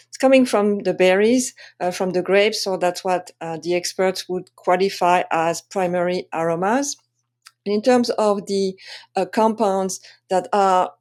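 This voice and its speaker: A woman with a French accent presenting an explanatory lesson as a wine tasting coach, speaking haltingly with frequent pauses. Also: lowish voice, a little mellow. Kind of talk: presentation lesson to camera or slides.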